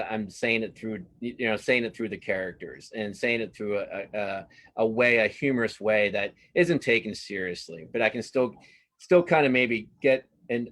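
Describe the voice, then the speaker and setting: A man with a fairly low voice talks casually as an interview guest. His delivery is halting and self-deprecating, and he often doubles back on his words as he works out a thought. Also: a bit bright. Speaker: interview guest, comic strip cartoonist.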